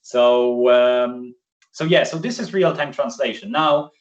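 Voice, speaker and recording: Guy w polish as first language walking thru a software demo, lots of ums, sounds far off and muffled on a call. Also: mid-range voice, a little slow. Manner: chatty, filler-heavy, self-correcting. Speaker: presenter. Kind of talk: live screen-share demo. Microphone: video call. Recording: distant, muffled video call audio.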